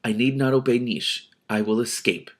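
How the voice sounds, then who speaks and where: A man's voice: medium pitch, normal speed, lightly acted. A man voicing a clever, desperate captive heroine in a storybook, speaking persuasively and coaxingly. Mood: determined.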